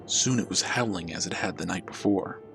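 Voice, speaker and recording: Male storyteller for horror narration: lowish pitch, measured and dramatic delivery, music underneath. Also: creaky, a bit bright. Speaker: storyteller, channel host.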